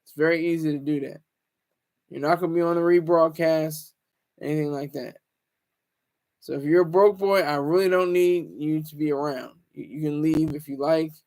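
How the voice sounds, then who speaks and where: High-voiced man rambling on a livestream. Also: steady tone, moderate pace, a little mellow. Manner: rambling, teasing and joking with viewers; stammers and restarts often. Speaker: livestream host. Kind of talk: casual livestream chat.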